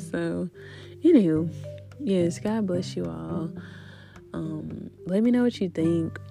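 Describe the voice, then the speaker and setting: Female podcast host, low gravelly voice, casual and encouraging, guitar bed. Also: takes it slowly. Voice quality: deep voice. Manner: rambling, faith-filled, soft laughs. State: recovering voice after illness. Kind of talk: casual spiritual podcast chat.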